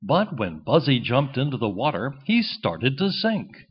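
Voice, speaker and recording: A man reading a children's storybook as narrator in an expressive, scripted style. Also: medium pitch, measured pace, breathy edge, muffled recording.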